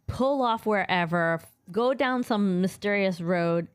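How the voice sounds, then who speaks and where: A woman's voice: mid-range voice, a little slow, clean tone, no echo. A woman in a casual podcast chat, curious and full of questions, saying "like" often. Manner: curious, questioning, uses like a lot.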